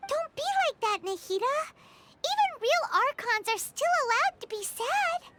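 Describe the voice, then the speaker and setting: A woman voicing a small, excitable, talkative fairy companion in a video game, with a squeaky, childlike, earnest delivery. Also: measured pace, background noise, exaggerated voice. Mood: consoling. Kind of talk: English video game dub acting.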